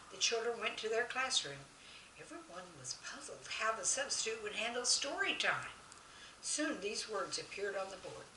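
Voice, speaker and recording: Bright-voiced woman storyteller in a roomy space. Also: fairly high pitch. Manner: expressive, addresses young listeners directly. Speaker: author reading own children's stories. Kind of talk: children's story narration with chat. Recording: roomy, distant mic, faint noise.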